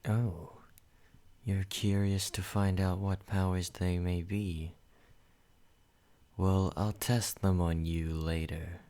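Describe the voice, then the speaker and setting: A man voicing a possessive male vampire who speaks calmly and directly to the listener in an ASMR roleplay. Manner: slow, low and measured, addressing the listener.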